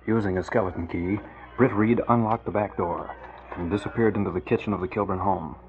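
A man narrates a vintage radio serial with measured drama. His voice is on the low side, with prominent music behind it, and the old recording sounds muffled. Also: steady tone.

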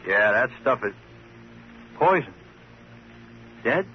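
A dull, faintly noisy vintage radio recording features a male hotel desk clerk with a medium-pitched voice. He is nosy and a bit slow on the uptake, speaking in folksy, hesitant bursts. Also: sing-song. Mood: awed, hesitant. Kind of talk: 1950s radio crime drama acting.

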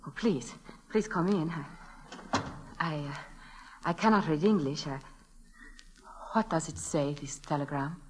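An actress playing a gentle, anxious Mexican immigrant mother, speaking halting, pleading broken English with a Mexican accent, in old-time radio drama style. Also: low-pitched, slight background noise. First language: Spanish.

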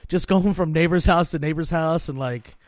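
A man's voice: on the high side, normal speed, no room sound. A man co-hosting a podcast in a laid-back, rambling way, swearing freely as he chats. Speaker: podcast co-host. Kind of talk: casual profane chat.

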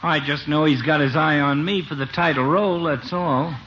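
Man acting a folksy small-town barber, high sharp voice, cocky, slangy, old radio comedy, noisy dulled recording. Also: steady tone, moderate pace, dull audio, natural voice.

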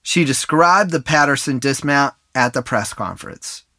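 A man hosting a sports podcast, reading scripted narration with a smooth, even delivery. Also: medium pitch, normal speed, no echo, studio quality. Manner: smooth read-aloud delivery. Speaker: podcast host.

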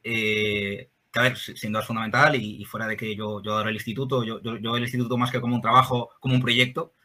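Bright-voiced man explaining. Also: lowish voice, little pitch movement, fast. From Spain. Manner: explanatory, many "eh" fillers. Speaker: guest, think-tank worker. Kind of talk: interview answers.

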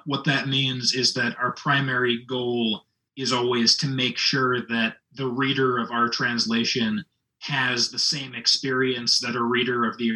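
A man who works as a literary translator, explaining his approach in an articulate, measured way. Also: mid-range voice, pushed and bright, low-quality recording. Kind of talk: conversational interview answers.

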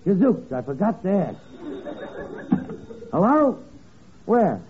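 Wisecracking bossy schemer, mangled grammar, swooping delivery, old radio comedy, noisy narrow recording. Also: male voice, high-pitched, sing-song, takes it slowly, exaggerated voice. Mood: bossy, self-important. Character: slangy, bossy, scheming tavern man posing as radio writer. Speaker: comic lead of a radio sitcom. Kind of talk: 1940s-50s radio comedy acting.

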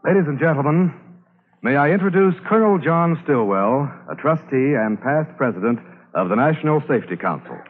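A man's voice: on the high side, animated, fairly quick, narrow, tinny audio. An American man announcing formally from a script on a 1950s radio program. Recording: band-limited old radio broadcast with loud music. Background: music.

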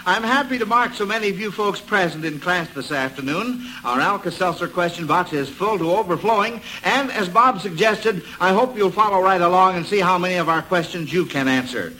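A man's voice: high-pitched, a little fast, few pauses, sharp tone, a little hiss or hum, poor audio. An American man hosting an old-time radio quiz, chatty and folksy as he talks to children and listeners. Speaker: quiz show host.

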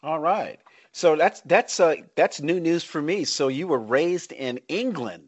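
A man's voice: on the high side, lively intonation, unhurried, dry recording, lo-fi sound. American man hosting a podcast, dry sound. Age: middle-aged. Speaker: podcast host. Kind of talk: podcast hosting, scripted intro and interview chat.

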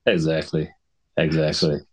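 Low-voiced man from Sri Lanka. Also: level tone, a little mellow. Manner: measured and explanatory, often clears throat. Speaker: guest physician. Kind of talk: conversational podcast interview.

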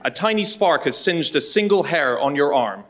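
A man's voice: fairly high pitch, animated, normal speed, fairly bright tone. A man giving a dramatic reading as a podcast panellist.

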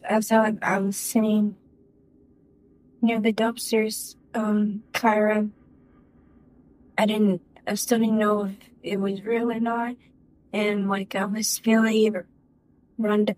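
Female witness, slow and flat testimony, halting with fillers, dry sound. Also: mid-range voice, low-quality recording. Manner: halting and hesitant, lots of "like" and restarts. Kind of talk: courtroom testimony.

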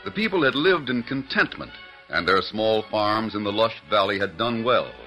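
Stirring, bright-voiced radio announcer man. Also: fairly low pitch, animated, normal speed, soft background music, low-quality recording. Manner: stirring, declamatory. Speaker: announcer and narrator. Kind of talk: dramatic 1940s radio announcing.

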